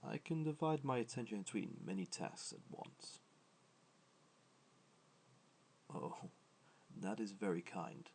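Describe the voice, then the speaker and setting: Man voicing a deep, creaky, soft-spoken gentle god. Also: a little hiss or hum, full character voice. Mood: modest, pleased. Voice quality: low, soft, creaky, breathy at times. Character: gentle, ancient male god, fond, comforting, modest. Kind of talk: soft-spoken ASMR comfort roleplay.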